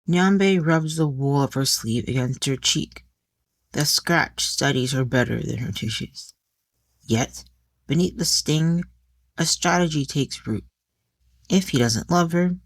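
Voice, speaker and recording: A woman's voice: rumbling low, a little slow, no echo. A woman reading a scripted fiction story as a dramatic audiobook narrator.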